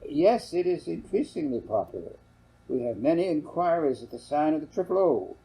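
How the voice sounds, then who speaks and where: A man's voice: on the high side, very animated, normal speed, vocal fry, dark tone, pitched above the performer's own voice, poor audio. A man voicing a slick, ingratiating land salesman who blusters and stammers in a storybook reading. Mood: smooth pitching. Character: slick, flustered salesman pushing a land scheme.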